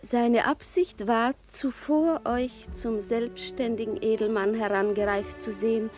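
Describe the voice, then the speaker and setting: An actress playing a gracious, composed princess, speaking in a measured, courtly way in a German radio drama. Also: female voice, fairly high pitch, fairly even intonation, darkish tone, natural voice. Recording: prominent music under the voice. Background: music.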